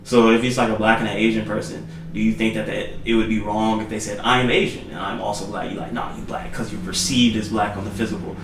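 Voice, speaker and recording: A man's voice: fairly low pitch, level tone, fast talker, few pauses, recorded from a distance, over music. Thoughtful man, a bit off-mic. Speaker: podcast co-host. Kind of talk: casual discussion, steering the talk. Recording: roomy sound, off-mic, faint noise.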